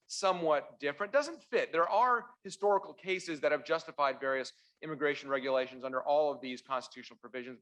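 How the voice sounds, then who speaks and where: A man born in Russia and raised in Canada, a constitutional lawyer giving opening remarks at a university debate, halting often on "uh" and restarts, with dry wry asides. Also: fairly high pitch, pushed and bright. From born in Russia, raised in central Ontario, Canada.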